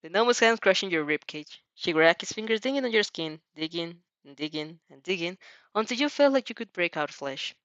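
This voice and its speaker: A woman's voice: on the low side, lively intonation, a little fast, fairly bright tone, dry recording, lo-fi sound. A woman narrating fan fiction with casual reaction asides, sometimes stumbling and rereading phrases.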